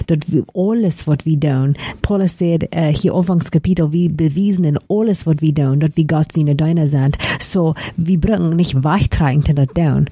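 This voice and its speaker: A woman explains a Bible passage, stops often and starts her sentences over. Her voice is low and warm. The sound is dry and close. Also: no room sound. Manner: halting, restarts often. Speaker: discussion participant. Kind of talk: casual Bible discussion.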